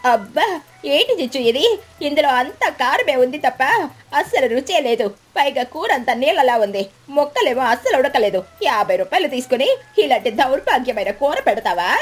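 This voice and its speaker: A woman's voice: high voice, very animated, moderate pace, husky, full character voice. A woman voicing a blunt, complaining eagle customer in a children's cartoon. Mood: disgusted. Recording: background music bed.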